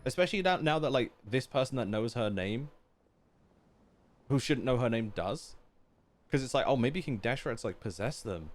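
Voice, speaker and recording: A man's voice: medium pitch, animated, normal speed, long pauses. A man streaming a game with casual, slangy reaction commentary. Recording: game music behind voice. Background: game music.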